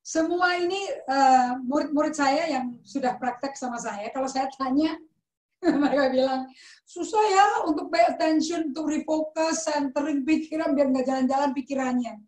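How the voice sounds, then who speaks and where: High-voiced woman preaching informally. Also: moderate pace, a little mellow, reverberant, low-quality recording. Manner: conversational, mixes in English words, asks rhetorical questions. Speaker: pastor. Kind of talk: informal teaching sermon.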